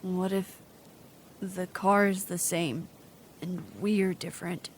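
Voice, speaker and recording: A woman's voice: lowish voice, fairly even intonation, slow, slight background noise. An actress playing an anxious, questioning traveler in an audio drama, earnest and hesitant in the way she talks. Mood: uneasy, earnest. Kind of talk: naturalistic audio drama acting.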